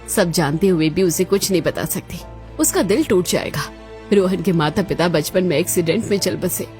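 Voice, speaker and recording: A woman's voice: lowish voice, a little mellow, lightly acted. A woman voicing a sympathetic, disapproving Indian aunt in a cartoon family drama, speaking earnestly.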